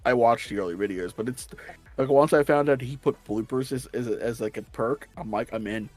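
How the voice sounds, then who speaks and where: A man's voice: medium pitch, fairly quick, darkish tone, dry recording, faint music behind. Rambling man with a slight Southern accent. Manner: rambling, restarts often. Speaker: stream guest. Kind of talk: casual chat.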